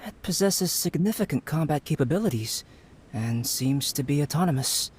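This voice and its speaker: An actor playing a composed, erudite young scholar in a video game, measured, articulate and formal. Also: male voice, on the high side, expressive, darkish tone, slight background noise, lightly acted. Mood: composed, analytical. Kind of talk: scripted video game voice acting.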